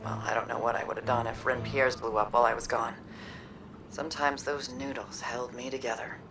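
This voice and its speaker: A woman's voice: very deep voice, little pitch movement, a little fast. An actress playing a battle-weary, resilient woman soldier in a video game, speaking plainly and reflectively with a wry edge. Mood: wry teasing. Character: battle-weary, resilient female soldier, tough but tender. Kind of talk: dramatic video game cutscene acting. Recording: music or faint ambient noise behind.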